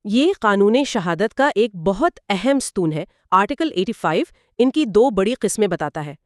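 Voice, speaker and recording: A woman explains law in a structured, didactic way. Her mid-pitched voice moves with lively intonation as she walks through rules and examples. The recording is dry and close, with little room sound. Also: moderate pace, no room sound. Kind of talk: explanatory educational dialogue.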